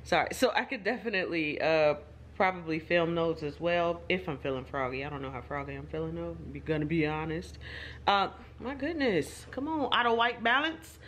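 A woman's voice: lowish voice, lively intonation, normal speed, a bit bright, a little hiss or hum. A woman, chatting casually to her own camera, rambling and joking about her day. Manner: chatty, rambling, self-deprecating asides. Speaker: vlogger. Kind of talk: casual vlog talking to camera. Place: home, then in her car. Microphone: phone or camera, self-filmed.

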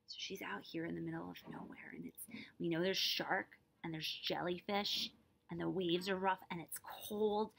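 A woman's voice: mid-range voice, gravelly, a bit bright, rough recording. A woman in her forties reading a picture book aloud expressively, breaking off to explain and check in with listeners. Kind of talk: animated storybook read-aloud with explanatory asides.

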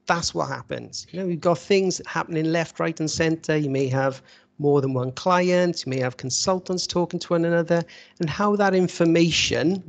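A man's voice: fairly high pitch, creaky. A man lecturing at an online webinar, explaining conversationally with rhetorical questions over a video call. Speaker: university lecturer presenting at a webinar. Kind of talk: informal slide-led lecture. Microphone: video call microphone.

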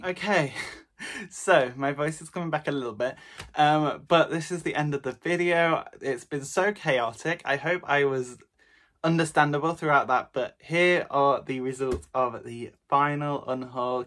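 A young man talks casually to camera, his slightly high voice worn hoarse. He rambles and rants with playful exaggeration, speaking loosely and chattily. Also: a bit bright. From Britain. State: losing his voice. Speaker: book vlogger.

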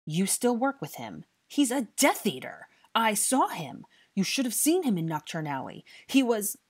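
A woman's voice: lowish voice, brisk, darkish tone, lightly acted. A woman voicing a defiant, indignant eighteen-year-old boy who protests and accuses in an audiobook story.

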